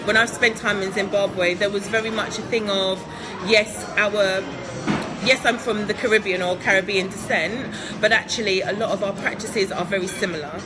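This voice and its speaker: A woman from England, answering interview questions in a chatty, upbeat way, laughing often and filling with ums. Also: mid-range voice. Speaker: guest entrepreneur.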